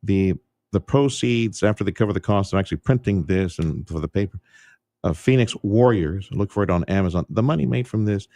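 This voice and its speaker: Deep-voiced radio host. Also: male voice, brisk, dry recording, studio quality. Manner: relaxed, stumbling restarts, folksy. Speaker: radio talk show host. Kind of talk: casual radio interview. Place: radio studio. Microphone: studio microphone.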